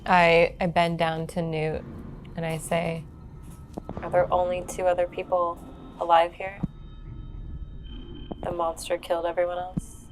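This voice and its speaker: A woman game player with a slightly low voice chats casually as she roleplays. Faint music runs underneath her. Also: completely flat delivery, measured pace, long pauses.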